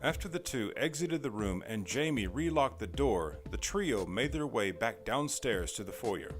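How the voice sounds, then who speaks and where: A man's voice: medium pitch, expressive, normal speed, soft background music. A man reading scripted narration for an audio drama as its narrator.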